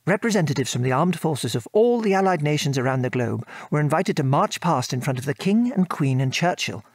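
A man's voice: fairly high pitch, animated, dry recording. An actor with a British accent playing a former RAF airman and father, frankly recounting his war service in an articulate, old-fashioned way for an audio drama. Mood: bitter, indignant. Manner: articulate, measured storytelling with old-fashioned British turns of phrase. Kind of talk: conversational audio drama reminiscence.

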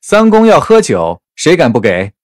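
An actor playing a mocking, falsely obliging killer in a Chinese period audio drama, with a smirking, sing-song manner. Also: male voice, on the high side, wildly swooping pitch, moderate pace, a little mellow. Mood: mockingly cheerful.

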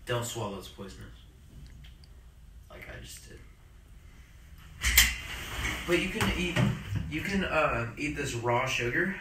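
A teenage boy hamming up fake product pitches with a deadpan delivery while a friend films him in a dorm room. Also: slow pace, pauses often. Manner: deadpan mock-commercial pitches. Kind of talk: casual chat with friends. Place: small dorm room, indoors. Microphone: friend's handheld phone. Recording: roomy echo, faint background noise.

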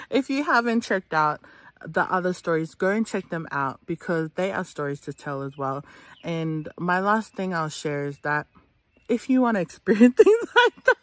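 A woman's voice: lowish voice, very animated, moderate pace, no echo. A woman around thirty telling a story casually and expressively to camera, with emphatic, chatty asides to listeners. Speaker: storyteller for an organisation. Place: outdoors in dry bushland under a tree. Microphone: phone at arm's length.

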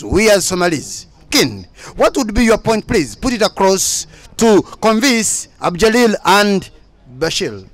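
High, lively young male debate moderator. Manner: formal, prompting speakers. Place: outdoor evening event. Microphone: handheld mic.

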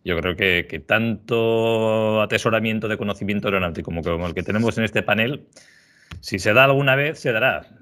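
Jovial low-voiced man hosting a video call. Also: fairly quick, a bit bright. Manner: jovial, chatty, laughs often. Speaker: podcast host. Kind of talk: casual panel chat.

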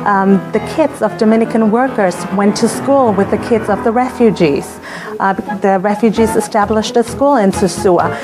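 A woman's voice: medium pitch, moderate pace, poor audio. A woman speaking as a curator in an interview, explaining in an informative way. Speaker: museum curator being interviewed. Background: music.

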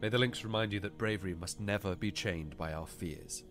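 A man's voice: on the low side, a little fast, fairly bright tone, soft background music. A man playing a weary, solemn ancient king with grave, formal speech in an audiobook. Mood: solemn, kind. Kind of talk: dramatised audiobook dialogue.

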